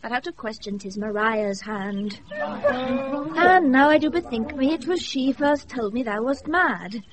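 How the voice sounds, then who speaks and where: High-voiced, poised British noblewoman. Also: big pitch swings, a little slow, a crowd in the background. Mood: poised, sympathetic. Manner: poised, formal. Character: noble lady of the house, commanding yet compassionate. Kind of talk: 1980s radio Shakespeare acting. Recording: noisy off-air radio recording.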